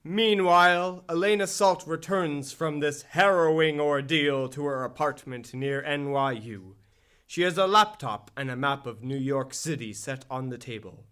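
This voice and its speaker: Male narrator for radio drama: scripted storytelling, unhurried, slightly raised pitch. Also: a bit bright, clear, resonant tone.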